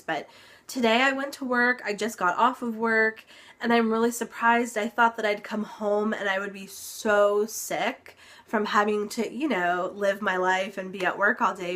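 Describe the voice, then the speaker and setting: Slow, unhurried young woman vlogger, stuffy-nosed and recovering, rambling casual update. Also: medium pitch, a bit bright. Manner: chatty, lots of ums, occasional laughs. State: congested, recovering from illness. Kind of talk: casual health update vlog.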